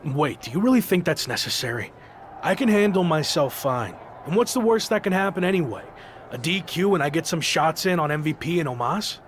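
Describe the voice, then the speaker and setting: A man's voice: on the high side, expressive, brisk, natural voice. Man playing a cocky champion wrestler, faint background noise. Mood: indignant. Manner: confident, defensive, blunt. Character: cocky, defiant young male champion wrestler. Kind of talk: video game cutscene acting.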